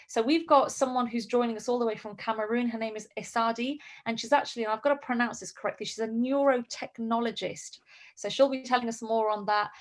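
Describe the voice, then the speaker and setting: A woman hosting an online group call, upbeat and effusive, introducing students and asking them to share. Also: on the high side. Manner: upbeat and effusive, repeats words. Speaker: host.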